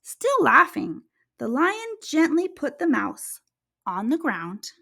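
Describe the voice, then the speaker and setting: Expressive, high-voiced woman reading to children. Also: slow pace, vocal fry. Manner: dramatic, careful storytelling. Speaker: children's story reader and host. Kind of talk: expressive read-aloud narration for children.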